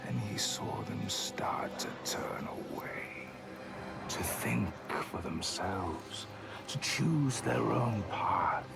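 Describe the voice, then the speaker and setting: An actor playing a grave, portentous storyteller of a dark legend in a video game, half-whispering in measured phrases. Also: male voice, on the low side, lively intonation, creaky, exaggerated voice. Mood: ominous, solemn. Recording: loud music behind.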